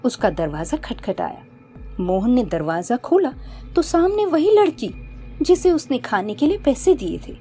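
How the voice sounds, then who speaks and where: High, animated woman storyteller. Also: unhurried. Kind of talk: scripted horror-comedy story narration. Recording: background music.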